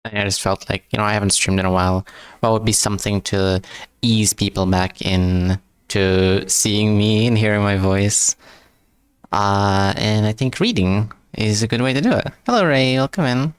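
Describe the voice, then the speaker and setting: A man's voice: fairly low pitch, moderate pace, clean tone, no echo. Stammering man chatting with stream, dry sound. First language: not English. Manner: stumbles and repeats words, answers chat with joking self-mockery. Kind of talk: casual live-stream chat.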